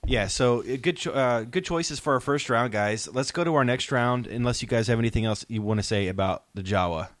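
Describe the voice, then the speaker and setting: A man's voice: medium pitch, a little fast, hardly any pauses, no echo. A middle-aged man, hosting a casual podcast discussion. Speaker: podcast host.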